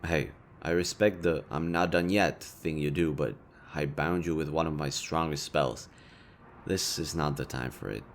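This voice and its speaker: A man's voice: a bass voice, normal speed, slight background noise. A man playing a smug, sardonic city supervillain with a caring streak, speaking to the listener in a casual, sweary audio roleplay. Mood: subdued, respectful. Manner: taunting, casual, sweary, theatrical.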